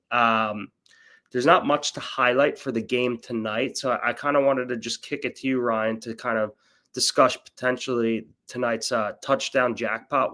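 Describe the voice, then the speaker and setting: A man's voice: mid-range voice, fairly bright tone. Chatty man, nasal from a cold. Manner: chatty, upbeat, with frequent fillers and restarts. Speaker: host. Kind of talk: casual sports betting chat.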